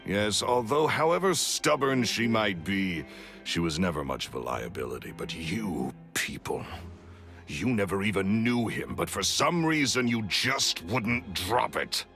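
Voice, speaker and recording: An actor playing a bitter, menacing magician villain in a video game, sneering and theatrical. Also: male voice, on the low side, big pitch swings, a little slow, fairly bright tone, full character voice. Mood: cold menace. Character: bitter, menacing male villain, resentful magician turned murderer. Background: background music.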